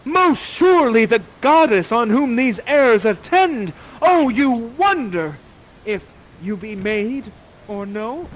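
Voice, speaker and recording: A man's voice: very high-pitched, very animated, takes it slowly, slight background noise, voice pushed up, full character voice, rough recording. A man playing an ardent, honorable young prince in a verse play, declaiming in a melodramatic way. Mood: smitten.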